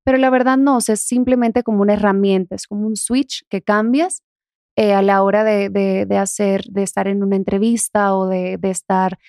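A young adult woman from the Dominican Republic with a softened Dominican accent, talking casually as an interview guest. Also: medium pitch, steady tone, normal speed, dry recording, studio quality. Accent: Dominican, softened toward neutral Spanish.

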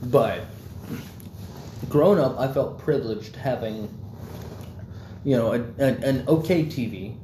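A man's voice: mid-range voice, unhurried, pauses often, warm tone. A young man in casual chat, rambling loosely with lots of fillers and swearing. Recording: echoey room, faint background noise.

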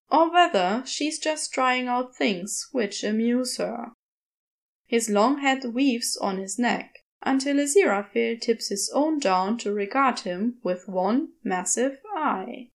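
A woman reading scripted fiction as an audiobook narrator. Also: fairly high pitch.

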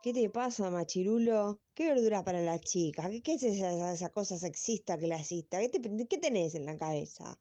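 A woman's voice: medium pitch, moderate pace, few pauses, dark tone, natural voice. A woman playing a combative middle-aged Argentine former classmate in a comic radio drama, scolding with sarcasm. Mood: indignant. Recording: dull, lo-fi audio.

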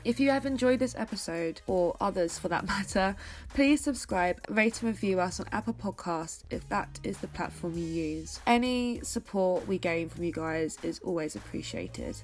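A woman's voice: on the low side, moderate pace, faint music behind. Young woman with a cold. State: blocked up with a cold. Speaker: podcast host. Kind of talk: podcast outro.

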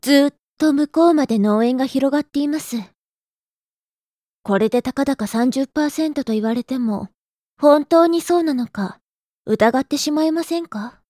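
Woman acting prim younger sister, high voice, polite but scolding, know-it-all lecturing, audio drama, dry recording. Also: moderate pace, dark tone, dry recording, lightly acted. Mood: lecturing, skeptical.

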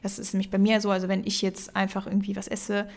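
A young woman talking casually on a podcast at a middle pitch. She rambles, stops to search for words and leans on fillers, and she drops English words into her sentences. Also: monotone, fast talker, creaky. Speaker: podcast co-host.

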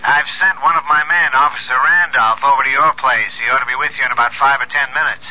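An actor playing a businesslike, reassuring police lieutenant over a telephone in a 1970s radio drama. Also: male voice, mid-range voice, fairly even intonation, fast talker, pushed and bright. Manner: businesslike, matter-of-fact. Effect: telephone filter. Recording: tinny, dull telephone-filtered radio recording.

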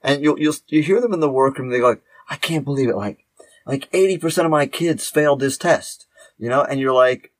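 A man in his fifties talking casually on a podcast as a teacher, animated, repeating words for emphasis and acting out remarks. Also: mid-range voice, a little fast, no echo. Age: 50s. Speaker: high school teacher.

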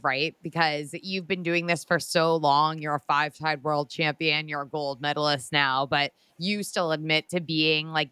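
A woman's voice: low-pitched, bright, forceful tone, clear, resonant tone, no echo. A woman hosting an interview, asking questions with enthusiastic reactions. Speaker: interviewer host.

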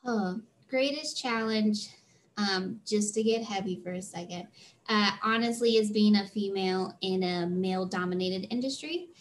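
A woman with a slightly high-pitched voice, a freelance theater tour manager answering interview questions in a chatty, earnest way with many fillers. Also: normal speed, slight background noise.

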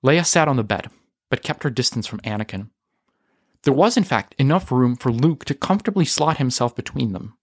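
A man narrates a piece of scripted audio fiction. His pitch sits in the middle of the male range. The sound is dry, with hardly any room around the voice. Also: no echo.

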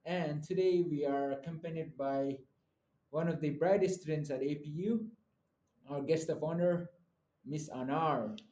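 A man's voice: a little high, measured pace, warm tone. Chatty young man in an echoey room. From Nepal. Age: young adult. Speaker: podcast co-host. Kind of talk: casual podcast chat.

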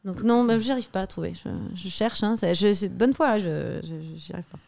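A woman's voice: medium pitch, brisk, vocal fry, darkish tone. Insistent young woman in a debate. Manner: insistent, many restarts and fillers. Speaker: guest comedian. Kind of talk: heated debate.

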